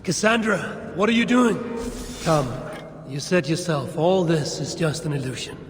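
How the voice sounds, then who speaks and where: A woman's voice: deep voice, animated, normal speed, a little hiss or hum. An actress playing a blunt, proud Norse warrior woman in a video game, speaking in a terse, dry way. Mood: urgent. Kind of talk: video game cutscene dialogue. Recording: music behind the voice.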